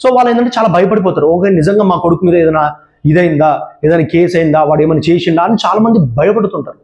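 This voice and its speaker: A man gives an earnest warning talk straight to his viewers and repeats his points often. His voice sits high but sounds mellow and dark, and the room rings with a noticeable echo. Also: fast talker, highs cut off. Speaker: channel presenter. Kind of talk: public awareness talk to viewers. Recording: roomy echo.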